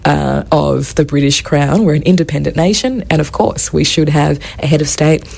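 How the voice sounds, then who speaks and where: A woman co-chairing an advocacy group, answering interview questions in a measured, articulate way. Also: sits low, creaky, a little mellow, husky. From Australia.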